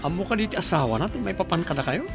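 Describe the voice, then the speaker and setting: A man with an Ilocano accent playing a gruff, scheming, blunt confidant in a radio drama. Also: high-pitched, expressive, fast talker. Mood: sly, urging. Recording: prominent music behind the voice.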